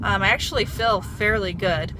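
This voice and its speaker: A woman's voice: mid-range voice, moderate pace. A woman vlogging in a casual, chatty way, rambling with lots of fillers. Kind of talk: casual chat to camera. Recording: noisy background hum.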